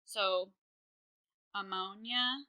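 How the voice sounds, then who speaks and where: A woman teaches chemistry in a recorded online class. She talks in a casual, chatty way with many fillers. Her voice is very bright, and she speaks close to a computer microphone. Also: mid-range voice, steady tone, drawn-out words, clean tone.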